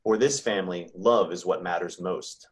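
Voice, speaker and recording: Grave spirit guide, played by a man, low voice, moralizing, for a holiday drama read. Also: normal speed, lightly acted.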